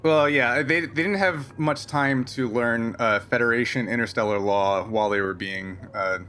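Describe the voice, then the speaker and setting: Bright-voiced, halting male game master. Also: mid-range voice, slight background noise. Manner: halting, explanatory. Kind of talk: improvised tabletop game narration.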